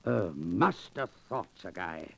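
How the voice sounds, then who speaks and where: An actor playing a pompous, greedy and nervous sheriff in a 1940s radio drama, with blustering archaic speech. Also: male voice, deep voice, animated, slow pace, creaky, a bit bright, slightly rough, exaggerated voice. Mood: indignant, plotting. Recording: muffled vintage 1940s radio recording.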